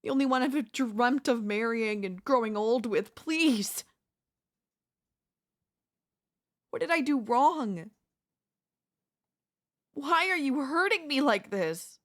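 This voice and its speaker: A woman's voice: sits high, animated, slow pace. A young woman playing an obsessive, lovesick yandere neighbor in an intimate, intense audio roleplay. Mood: desperate, begging. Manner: intimate, pleading, emotionally intense delivery. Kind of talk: ASMR audio roleplay acting.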